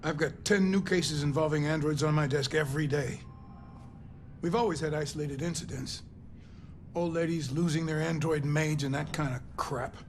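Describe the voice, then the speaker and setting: A man's voice: fairly high pitch, a little hiss or hum, close to an everyday voice. Man playing a stern, blunt police captain. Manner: commanding, blunt, profane.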